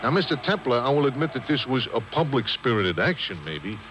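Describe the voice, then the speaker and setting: An actor playing a chatty, comic working-class cab driver sidekick, folksy and slangy, in a 1950s radio drama. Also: male voice, medium pitch, sing-song, brisk, a bit bright, highs cut off. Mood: skeptical, curious. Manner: folksy, slangy, eager questioning. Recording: hissy old radio broadcast on cassette.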